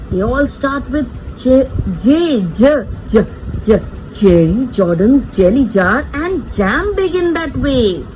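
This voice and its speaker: Female kids' read-aloud presenter, slow and sing-song, playful teacher delivery, faint noise behind. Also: high voice, a little mellow, rough recording. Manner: sing-song, playful, teacherly, repeats words and points things out. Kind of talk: animated picture-book reading for small children.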